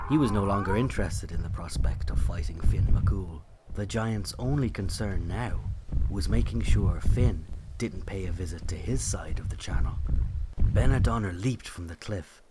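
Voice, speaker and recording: A man's voice: fairly low pitch, heavy background noise. A man giving scripted storytelling narration of a mythic legend.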